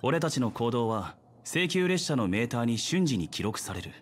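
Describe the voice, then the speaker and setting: An actor playing a stoic, serious young guard in a Japanese anime game, cool and matter-of-fact. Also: male voice, medium pitch, very animated, normal speed, slightly rough.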